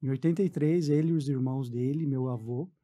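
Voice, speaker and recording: A man's voice: mid-range voice, brisk, warm tone. A man in his forties with a southern Brazilian accent, telling his story casually as a guest on a radio interview. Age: 40s. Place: radio studio.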